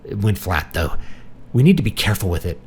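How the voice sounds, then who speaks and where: Confused old man played by a male narrator, giggly and self-amused, rambling storybook character with highly animated, swooping pitch. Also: a little fast, breathy edge.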